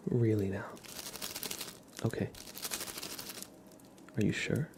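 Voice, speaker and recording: A man with a gravelly, fry-laden voice speaks softly as an ASMR host, often slipping into a whisper. He murmurs gently and haltingly, close to the microphone. His register sits on the low side, and clear background noise with clicking runs behind him. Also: steady tone. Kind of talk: soft-spoken, half-whispered ASMR chat and playful roleplay.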